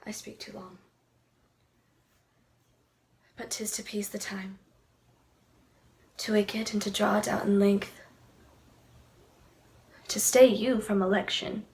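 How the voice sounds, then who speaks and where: A woman's voice: medium pitch, level tone, unhurried, vocal fry, long pauses, a little mellow, slight background noise. An actress plays a young noblewoman, lovestruck and anxiously torn, speaking Shakespearean verse on stage. Her mid-range voice is theatrical and hesitant in its phrasing. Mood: hushed, wistful.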